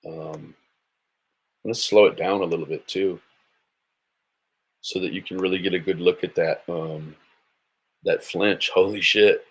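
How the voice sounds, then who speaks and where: A man's voice: low-pitched, fairly even intonation, a little slow, long pauses, husky. An American man hosting a casual livestream, giving blunt, mocking, sweary reaction commentary. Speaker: host of a livestream commentary show.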